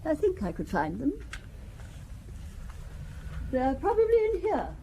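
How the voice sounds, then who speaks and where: A wealthy older widow, gracious and polite as she offers her guest a drink. Her voice sits fairly high, mellow and lilting. Faint room ambience runs under the scene. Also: huge pitch swings, measured pace, highs cut off, slight background noise, full character voice. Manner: polite, genteel, hospitable. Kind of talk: radio drama acting.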